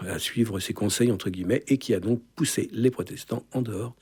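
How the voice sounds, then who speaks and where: A man with a low, husky, mellow voice chats on a radio show. He wanders into side stories and searches aloud for his words, speaking from a studio. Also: brisk. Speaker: radio co-presenter. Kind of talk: casual radio chat.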